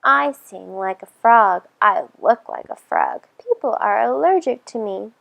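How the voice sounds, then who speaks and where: Woman as slow, candid storybook kids. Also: medium pitch, expressive, vocal fry, highs cut off, full character voice. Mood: frank, self-deprecating. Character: candid children listing their own oddities.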